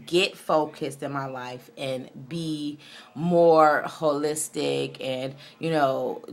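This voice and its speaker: Low-voiced woman chatting casually. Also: fairly bright tone. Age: late 30s. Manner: candid, conversational, self-reflective. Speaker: video blogger. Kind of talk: casual personal vlog, rambling chat.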